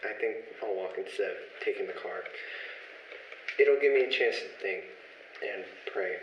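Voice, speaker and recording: A man's voice: low-pitched, moderate pace, lightly acted. Man as young pastor, devoted husband, earnest, radio play acting, roomy dull recording. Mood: weary, discouraged. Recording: lo-fi, echoey room with faint noise.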